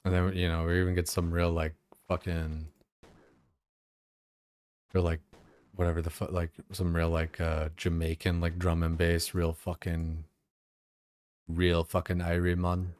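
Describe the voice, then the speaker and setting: A man's voice: low-pitched, monotone, measured pace, long pauses, a little mellow, clear, resonant tone, no room sound. A young man streaming a game, chatting casually in a rambling way, full of fillers and swearing. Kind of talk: casual chat while playing.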